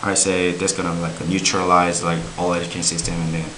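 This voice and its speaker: A young man, a student giving a halting interview answer full of fillers. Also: low voice, level tone. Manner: halting, heavy use of "like". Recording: faint hiss.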